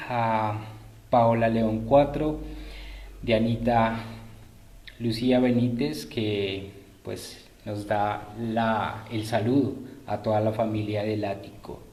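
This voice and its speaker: A man's voice: medium pitch, mellow. A man hosting a live stream, politely greeting and informing viewers in a hesitant, improvised way. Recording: roomy echo.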